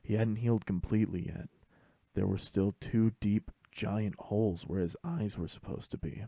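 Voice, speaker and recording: A man's voice: fairly low pitch, steady tone, moderate pace, vocal fry, mellow, dry recording. A man reading a scripted fan fiction story as host and narrator.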